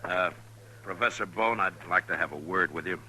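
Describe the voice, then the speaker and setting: A man playing a firm, calm, plainspoken frontier marshal in an old-time radio western. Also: low-pitched, normal speed, a little hiss or hum, poor audio. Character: steady, firm, laconic male frontier marshal.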